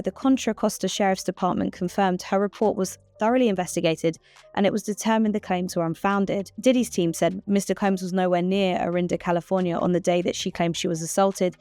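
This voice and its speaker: A woman's voice: on the low side, darkish tone, dry recording. A woman giving a news recap as a commentator.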